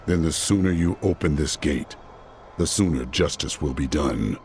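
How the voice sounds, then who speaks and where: A grim, gravelly warrior hero. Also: male voice, rumbling low, moderate pace, a little mellow, slight background noise. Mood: stern. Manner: stern, gravelly, solemn. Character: grim holy warrior hero, stern and resolute. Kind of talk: game cutscene acting and narration.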